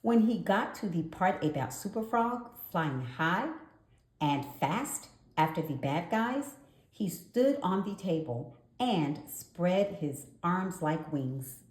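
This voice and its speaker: A woman reading a children's story aloud in an animated narration, with deliberate dramatic pauses. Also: lowish voice. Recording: echoey room.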